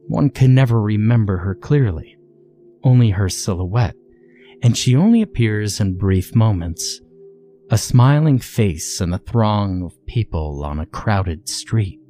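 A man reading a scripted first-person horror story in a measured, dramatic way with deliberate phrasing. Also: lowish voice, warm tone.

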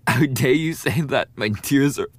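A young man playing a lovesick, defensive school bully in a boyfriend ASMR roleplay, speaking haltingly in a husky, breathy voice. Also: medium pitch, no room sound, natural voice. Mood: hurt, indignant. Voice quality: husky, breathy, often dropping to a whisper. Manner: halting, swearing, coughing between phrases.

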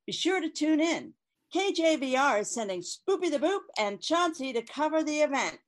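A woman plays a peppy sports show presenter on comedy radio. The voice is fairly high and very animated, reading a scripted promo.

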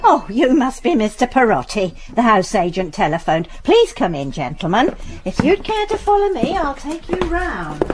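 An actress playing a middle-aged English house servant, chatty, homely and deferential, in a radio drama with an English accent. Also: female voice, fairly high pitch, sing-song, normal speed, hardly any pauses, noisy background. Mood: polite, chatty.

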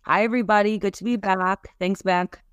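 A woman lawyer talks as a podcast guest, explaining things in an assured, conversational way. Her pitch sits in the middle range. The recording is close and dry. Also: fairly even intonation, moderate pace, clear, resonant tone, no room sound. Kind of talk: conversational interview.

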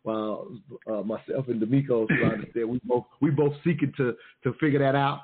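A man's voice: medium pitch, fairly even intonation, brisk, breathy edge. A man hosting a live call-in radio talk show, courteous and polite, often saying "if you will". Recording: phone-like narrow audio.

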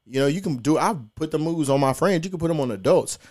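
A man's voice: on the high side, lively intonation, fast talker, darkish tone. A young man, hosting a podcast and telling stories in a laid-back, profane, slangy way. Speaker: podcast host. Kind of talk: casual podcast storytelling.

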